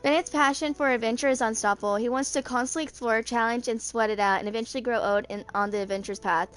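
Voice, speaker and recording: A woman in her twenties reading character lore aloud, haltingly, stumbling over words now and then. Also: fairly high pitch, steady tone, fairly bright tone, faint music behind. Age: 20s. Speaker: video creator.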